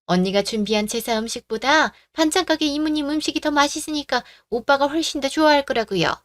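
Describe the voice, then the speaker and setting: A woman's voice: high voice, a little fast, a bit bright, no room sound, clean studio sound. A woman doing a blunt, outspoken aunt. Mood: reproachful. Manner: blunt, reproachful. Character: outspoken aunt siding with the bride. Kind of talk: radio drama acting.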